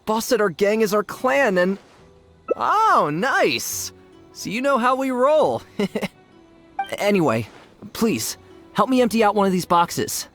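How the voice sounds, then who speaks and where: An actor playing a cowardly, scatterbrained young gang member in a video game, talking in a rambling, slangy way. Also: male voice, high voice, very animated, slow pace, long pauses, a bit bright, faint music behind, exaggerated voice. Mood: pleading, chummy. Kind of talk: animated video game voice acting.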